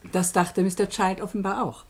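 An actress playing a narrator in a radio play, explaining a case in a composed, wry manner. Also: female voice, medium pitch, flat delivery, normal speed, slight background noise, close to an everyday voice. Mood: wry, explanatory. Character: wry female narrator presenting a case to listeners.

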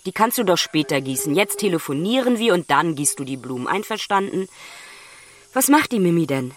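A woman playing an exasperated grown daughter on the phone with her father in a radio play, impatient and pausing to listen. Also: lowish voice, big pitch swings. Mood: impatient, insistent. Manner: impatient, one-sided phone chat with pauses. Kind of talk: naturalistic radio play dialogue.

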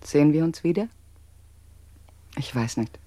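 An actor playing a hesitant, anguished lover who evades questions in a radio drama. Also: male voice, a little high, lively intonation, a little slow, dark tone, no echo.

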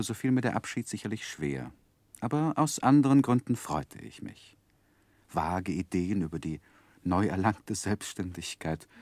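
An actor playing a grown gentleman who looks back on his youth, narrating a 1960s German radio play in a measured, expressive literary manner. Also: sits low, dry recording. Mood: wistful, amused.

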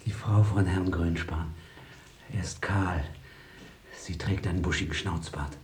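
A man playing an anxious, fatalistic critic in a radio play, theatrical and halting. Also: sits low, steady tone. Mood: hushed, anxious. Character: anxious, haunted Viennese critic, rambling and fatalistic. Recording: roomy radio recording with tape hiss.

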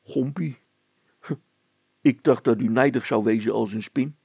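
Man as a gravelly, stubborn village policeman. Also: mid-range voice, unhurried, a little mellow, no echo. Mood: surprised, humble. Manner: blunt, emphatic. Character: indignant, stubborn male village policeman, plain-spoken and earnest.

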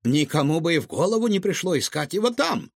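A man voicing an eager, simple-minded rusty robot henchman in a high, excited voice. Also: brisk, gravelly, no echo, studio quality, full character voice. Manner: eager, delighted. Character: rusty, dim-witted male robot henchman.

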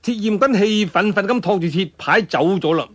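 A man's voice: high voice, moderate pace, a bit bright. A man with a Cantonese accent telling a martial-arts tale in a dramatic, colloquial traditional storytelling style. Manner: dramatic, colloquial, with spoken sound effects and interjections.

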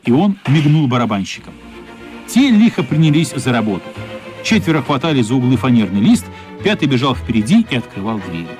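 A man narrates a story as part of a radio play. His voice sits at a middling to slightly higher pitch, and music plays clearly behind him. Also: big pitch swings, brisk, darkish tone.

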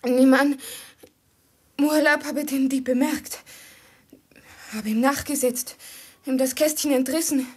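A woman's voice: high voice, steady tone, unhurried, pauses often, a little mellow. A woman playing an anxious, tender princess in a German radio play, speaking earnestly and pleadingly. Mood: defensive, urgent.

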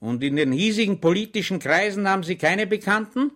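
A man's voice: high voice, expressive, a little fast, fairly bright tone, pitched above the performer's own voice. A man voicing a cruel, cold police official who barks and sneers at a prisoner. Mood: barking. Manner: barking, contemptuous.

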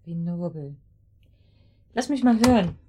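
A scornful, calculating woman in a radio drama. Her voice sits fairly low but moves in lively swings. She is pointed, sarcastic and quick to interrogate. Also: slight background noise. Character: cool, scheming, scornful female murder accomplice. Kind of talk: German radio drama acting.

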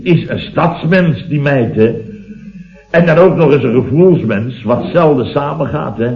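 A muffled vintage radio comedy recording of a middle-aged builder boss. He blusters and exclaims at an ordinary male pitch, spinning indignant, rambling tales full of tag words. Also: lively intonation, a little slow. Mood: exasperated. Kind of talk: late-1960s Dutch radio comedy serial acting.

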